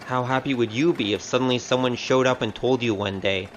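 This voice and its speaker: A man's voice: medium pitch, fairly even intonation, brisk, muffled recording, lightly acted. Breezy wry rogue played by a man, noisy recording. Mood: chatty, wry. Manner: casual, wry, chatty. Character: breezy, chatty male wandering rogue with a wry streak. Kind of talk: audio drama acting.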